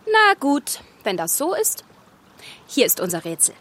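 A woman's voice: high voice, wildly swooping pitch, unhurried, a bit bright, slight background noise, full character voice. A woman playing a delicate, dramatic young fairy-tale maiden in a children's radio play, girlish and plaintive. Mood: reciting.

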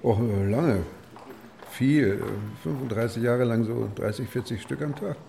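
A man in his late fifties lying in hospital after a stroke. His voice sits in a middle register. He talks in a wry, halting, self-mocking and colloquial way, as in a naturalistic radio play. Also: medium pitch, gravelly, warm tone, a little hiss or hum. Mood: anxious, hesitant. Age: 50s. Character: wry 59-year-old editor recovering from a stroke in hospital.

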